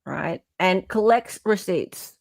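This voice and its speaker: A woman's voice: fairly low pitch, lively intonation, slow, gravelly, dry recording, studio quality. A woman with an Australian accent hosting a casual live chat, emphatic and given to repeating phrases for effect. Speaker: livestream host.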